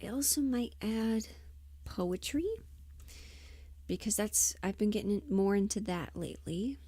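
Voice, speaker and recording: A woman livestreamer chatting casually and thinking aloud in an unhurried, musing way, often trailing into half-whispers. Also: medium pitch, warm tone, no room sound. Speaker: audio roleplay performer and livestream host.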